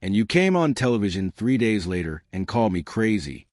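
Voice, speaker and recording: A man's voice: on the low side, sing-song, darkish tone, dry recording, clean studio sound. A man voicing a steely woman accusing her abuser. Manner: measured, accusing, deliberate delivery.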